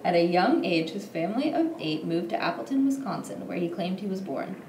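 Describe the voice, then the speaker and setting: A woman's voice: fairly low pitch, lively intonation, fairly quick, a little mellow. A young woman reads a scripted history report aloud as a student presenter, occasionally stumbling over her words. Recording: roomy echo, clear background noise.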